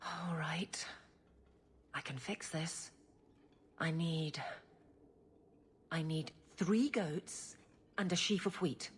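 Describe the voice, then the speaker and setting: An actress playing a stern, awkward, lovesick guard captain in a video game, blunt and earnest, with a husky voice. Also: female voice, low voice, extremely slow, pauses often, a little hiss or hum. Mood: flustered. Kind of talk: video game character acting.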